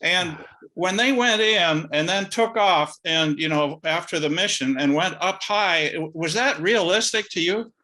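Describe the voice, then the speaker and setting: A man's voice: high voice, a little slow, bright, forceful tone, rough recording. An older man from Canada in the audience, politely asking the speaker a friendly question.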